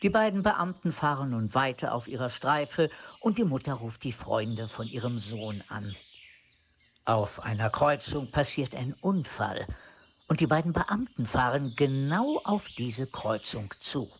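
A man's voice: mid-range voice, expressive, unhurried. A man narrating a crime radio play as its scripted storyteller. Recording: faint background noise with birdsong.

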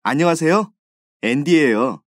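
A man voicing a friendly young learner in textbook dialogues, with clear and careful diction. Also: on the high side, expressive, measured pace. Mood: polite. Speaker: dialogue voice actor.

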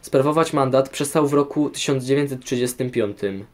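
A man's voice: mid-range voice, normal speed. A young man speaking as a guest, formally delivering scripted factual information. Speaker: programme guest. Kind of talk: scripted informative talk, read out.

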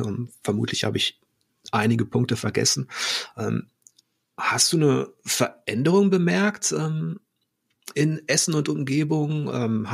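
A man in his forties hosting a podcast, asking questions in a relaxed, chatty way with frequent ums. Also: mid-range voice, vocal fry, husky. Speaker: podcast host. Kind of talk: casual interview conversation.

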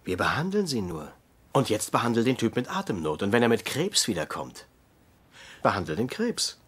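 An actor in a dubbed TV comedy playing a gruff, biting middle-aged doctor who mentors an intern. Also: male voice, lowish voice, wildly swooping pitch, normal speed, a bit bright, full character voice. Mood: scornful. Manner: biting, insulting, ranting. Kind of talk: German dubbed TV comedy acting.

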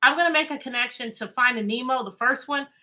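A middle-aged American woman reading aloud to children as a teacher, animated and chatty, often asking questions. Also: sits high, fast. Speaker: teacher and read-aloud host. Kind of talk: interactive children's read-aloud lesson. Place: indoor room with bookshelves.

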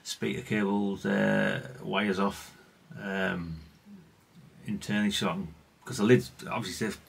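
A man's voice: on the low side, level tone, a little slow, vocal fry, slightly rough. A middle-aged British man giving a casual, unscripted hands-on demonstration as a hobbyist repairer, rambling, self-deprecating and mildly sweary. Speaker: hobbyist radio repair presenter.

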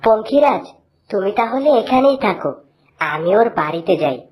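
A woman's voice: mid-range voice, lively intonation, moderate pace, background noise, lightly acted. A woman voicing an earnest, polite young prince in a cartoon fairy tale, slightly declamatory.

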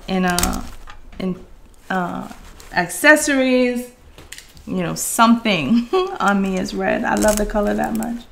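A woman's voice: medium pitch, gravelly, a bit bright. A middle-aged woman chatting casually with viewers as she hosts a live craft tutorial from a room at home. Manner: chatty, addressing viewers as guys while working. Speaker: craft livestream host.

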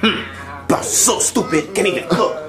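A young man voicing a shrill, bossy male chef in an echoey home room. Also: moderate pace, music in the background, natural voice.

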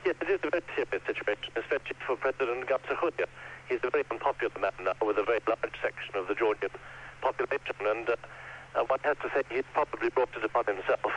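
A man's voice: mid-range voice, fast, a bit bright. A man giving a live news report as a foreign correspondent. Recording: dull, faintly noisy radio line.

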